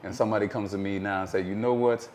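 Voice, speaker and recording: Deep-voiced American man, thoughtful. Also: fairly even intonation, darkish tone, a little hiss or hum. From United States. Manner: thoughtful, measured, many fillers. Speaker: guest. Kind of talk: telling his life story in an interview.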